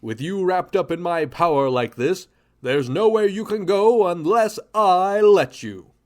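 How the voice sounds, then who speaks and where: A heroic superhero leader played by a man in a radio drama, pitched a little high. He is bold and righteous, declaiming grandly with total confidence. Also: unhurried. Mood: triumphant, commanding.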